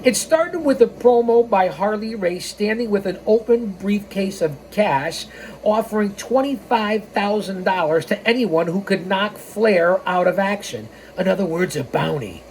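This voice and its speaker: A woman's voice: medium pitch, expressive, measured pace, darkish tone. A woman hosting a story time, reading a scripted history aloud with casual, playful asides. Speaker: storytime host and narrator. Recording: clearly noisy background.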